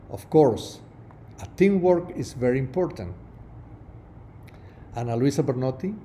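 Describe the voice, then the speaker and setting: Slow, mellow Spanish-speaking man lecturing. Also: medium pitch, slight background noise. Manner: halting non-native English with many restarts. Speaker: prosthodontist lecturer. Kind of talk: webinar lecture over slides.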